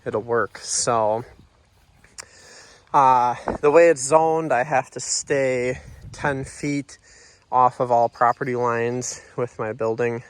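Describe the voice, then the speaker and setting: A man's voice: medium pitch, clean tone. Stuffy-nosed man vlogging outdoors. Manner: relaxed, rambling, lots of uh fillers. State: nasal from allergies. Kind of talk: casual vlog walkthrough. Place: outdoors on a commercial lot and driving in a truck.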